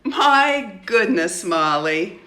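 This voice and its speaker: An older woman voicing a mocking, spiteful schoolgirl from a children's storybook, with taunting, drawn-out emphasis. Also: medium pitch, animated, sharp tone, echoey room, pitched above the performer's own voice, heavily acted.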